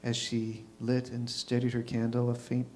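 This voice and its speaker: A man's voice: mid-range voice, completely flat delivery, normal speed, darkish tone, slight background noise. A man giving a dramatic reading of literary fiction as a theatre reader.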